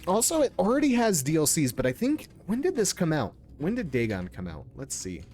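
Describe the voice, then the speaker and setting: A man's voice: on the high side, wildly swooping pitch, brisk, darkish tone, slight background noise. A man giving casual live commentary as a game streamer, informal and joking, sometimes reading on-screen text aloud.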